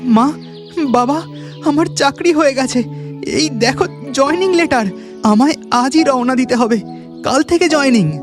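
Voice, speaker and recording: A man's voice: very high-pitched, measured pace, pauses often. Man acting an earnest young village son, music behind. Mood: excited. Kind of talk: dramatised moral story cartoon.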